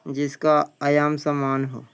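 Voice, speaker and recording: A man's voice: on the high side, level tone, slow, a bit bright, clear, resonant tone. A man reading out practice questions and answers as a teacher, plain-spoken and repeating phrases. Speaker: teacher, exam coaching.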